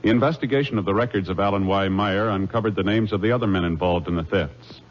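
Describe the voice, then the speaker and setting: A man announcing in a formal, measured, official manner, in the style of 1950s radio narration. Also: lowish voice, slight background noise. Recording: muffled 1950s radio recording.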